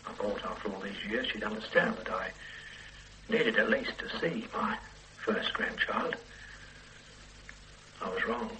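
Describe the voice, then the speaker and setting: A man's voice: lowish voice, expressive, unhurried, long pauses, sharp tone, a little hiss or hum, rough recording. An actor in a radio play voicing a tired, ordinary older man, a husband and grandfather, who talks in a plain, weary, pleading way. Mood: reflective.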